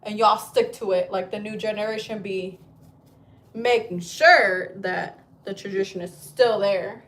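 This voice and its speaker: A woman's voice: mid-range voice, steady tone, unhurried, fairly bright tone. A woman in her thirties, from Chile, chatting casually on a livestream from home, rambling and swearing freely. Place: at home, indoors.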